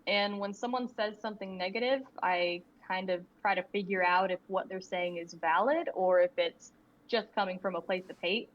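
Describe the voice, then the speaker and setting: A woman's voice: mid-range voice, normal speed. Young woman, student creator, candid and rambling, laughs easily; slightly dull lo-fi audio. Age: young adult. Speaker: guest, indie animator and creator. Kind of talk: casual interview answers. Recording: slightly muffled lo-fi audio with faint background ambience.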